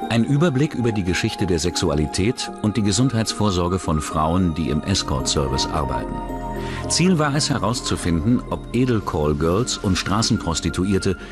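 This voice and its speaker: Very deep male documentary narrator. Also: sing-song, normal speed, music in the background. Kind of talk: scripted true-crime documentary narration.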